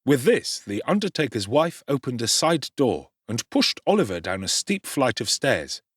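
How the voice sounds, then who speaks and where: A man's voice: medium pitch, normal speed, husky, dry recording, clean studio sound. A man giving a theatrical, dramatic audiobook narration. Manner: expressive, theatrical.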